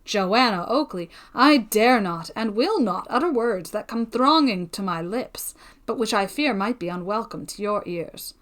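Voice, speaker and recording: A woman reading a storybook voices a devoted, cautious gentleman suitor who pleads in formal period speech. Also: mid-range voice, natural voice. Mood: restrained longing.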